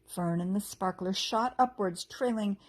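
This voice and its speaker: A woman's voice: medium pitch, a little slow. Woman reading a children's story aloud. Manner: expressive, animated storytelling. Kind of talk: storybook read-aloud with character voices.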